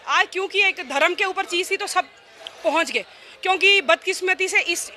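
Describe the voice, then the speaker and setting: Woman, high pitch, bright forceful tone, argumentative opposition politician, press statement. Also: a little hiss or hum. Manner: insistent, argumentative. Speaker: opposition municipal councillor.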